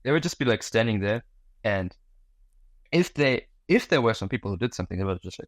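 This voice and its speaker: Low-voiced man chatting, dry close sound. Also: expressive, fairly quick, no room sound. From Germany. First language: German. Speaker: interview guest. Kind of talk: casual interview chat.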